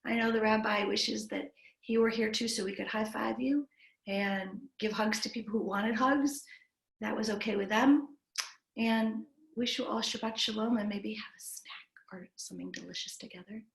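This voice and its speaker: A woman reading a storybook aloud to young children in an animated, expressive way, breaking off to chat with them. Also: on the high side, normal speed, creaky. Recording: roomy, echoing livestream sound.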